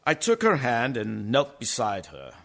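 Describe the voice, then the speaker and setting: A man's voice: mid-range voice, wildly swooping pitch, unhurried, gravelly, fairly bright tone, heavily acted. A man playing a solemn, grieving doctor who narrates his tale in a radio play. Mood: solemn, haunted.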